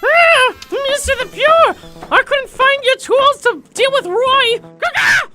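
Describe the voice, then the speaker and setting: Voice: very high voice, animated, slow, sharp tone, soft background music, full character voice. A performer voicing a frantic little henchman creature with panicky squealing in a comic radio drama. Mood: panicked.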